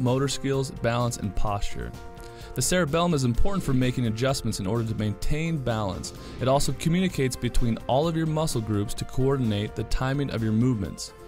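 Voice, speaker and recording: A man reading scripted educational narration as a TV host over guitar music. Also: mid-range voice. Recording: music bed under narration.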